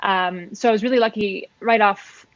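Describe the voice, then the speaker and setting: A woman's voice: mid-range voice, fairly even intonation, moderate pace. A Canadian woman in her thirties answering casually as an interview guest over a lo-fi online call. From Halifax, Nova Scotia, Canada. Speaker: guest, percussionist and arts administrator. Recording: dull, lo-fi online call audio.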